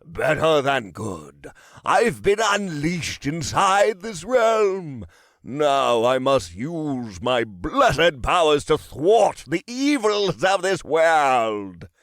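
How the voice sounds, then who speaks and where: Hammy, drawn-out British wizard. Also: male voice, a little high, very animated, a bit bright, dry recording, studio quality, pitched above the performer's own voice, full character voice. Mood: pompous, theatrical. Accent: fake British. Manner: overdramatic, pompous, drawn-out proclamations. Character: hammy, maniacal wizard roleplayer under forty, grandiose and theatrical.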